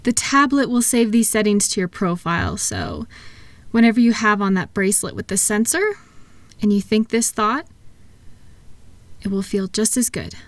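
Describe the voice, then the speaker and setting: A woman acts out a gentle female therapist in a soothing audio roleplay. Her soft-spoken voice sits at an ordinary pitch for a woman, recorded dry with little room sound. She guides her patient patiently, with kind encouragement and reassurance. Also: sing-song, moderate pace, pauses often, no room sound, close to an everyday voice. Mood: kind, softly encouraging.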